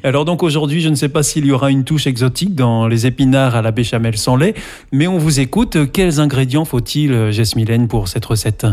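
A man's voice: medium pitch, a little fast, darkish tone. A man hosting a radio cooking show, guiding his guest with follow-up questions and restating her answers. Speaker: radio host. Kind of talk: radio interview.

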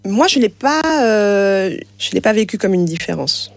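A woman whose first language is French Sign Language, speaking as an interview guest, pausing often with fillers and restarts. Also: mid-range voice, lively intonation, gravelly, dry recording, faint music behind. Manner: hesitant, many fillers and restarts. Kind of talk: casual conversational interview.

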